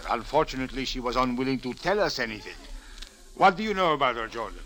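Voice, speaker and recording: Formal, bright-voiced police captain. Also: male voice, mid-range voice, expressive, fast. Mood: questioning. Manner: official, precise, fussy. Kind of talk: 1940s radio acting. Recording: old radio drama recording.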